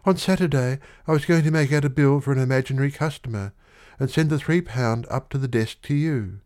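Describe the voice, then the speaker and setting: A man's voice: medium pitch, brisk, darkish tone, pitched above the performer's own voice, natural voice. A man reading aloud, voicing a frightened, tearful young shop girl who pleads in a breathless rush. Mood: haughty. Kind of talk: audiobook reading.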